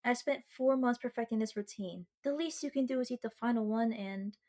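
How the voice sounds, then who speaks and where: A somewhat high-pitched young-love girlfriend character , acted by a woman, speaks straight to her partner in an ASMR audio roleplay. She is sweet and earnest, halting when bashful. She slips into playful, self-mocking seriousness as she chats. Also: dark tone, natural voice. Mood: insistent. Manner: chatty, halting, bashful with dramatic mock seriousness.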